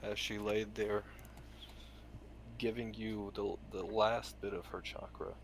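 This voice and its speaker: A man acts out a reformed villain ninja mentor for a tabletop game. The voice sits fairly low, solemn and earnest, with deliberate, halting phrasing. There is noticeable room noise behind it. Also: little pitch movement.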